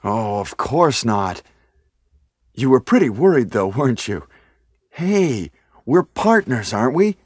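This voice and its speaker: An earnest young action hero in a 1990s horror game. His voice is husky and dry and swings widely in pitch, with stilted, melodramatic delivery. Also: very animated, takes it slowly, pauses often, no echo, exaggerated voice. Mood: calm, reassuring.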